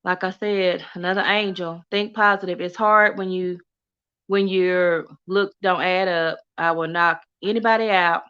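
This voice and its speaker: A woman's voice: lowish voice, unhurried, smooth, highs cut off. A middle-aged woman from Texas with a Southern accent, chatting casually on a livestream, reading out viewer comments with lots of laughter. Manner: animated, laughs often, chatty and warm toward viewers. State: coughing from allergies. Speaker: livestream host.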